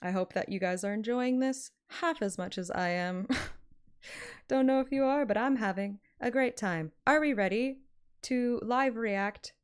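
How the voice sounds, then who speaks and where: A woman chatting casually on a livestream in an animated, self-deprecating and sometimes sweary way. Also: on the high side, a little slow, smooth. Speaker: live streamer and amateur voice actor. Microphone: microphone at adjustable close distance.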